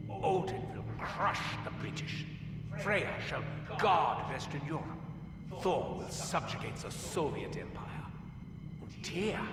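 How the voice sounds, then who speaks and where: An actor playing a pompous, menacing Nazi scientist officer with a German accent and a grandiose, mock-polite delivery in a video game audio log. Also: male voice, fairly high pitch, far from the mic. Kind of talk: scripted dramatic audio log acting. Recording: echoey long-reverb hall, loud background noise.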